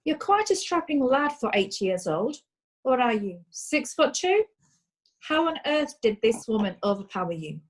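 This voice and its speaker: Woman as a formal courtroom lawyer, slightly high voice. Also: big pitch swings, moderate pace, husky. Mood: formal, probing. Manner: formal, inquisitive. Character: brisk, formal courtroom lawyer questioning witnesses. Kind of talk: comic courtroom play acting.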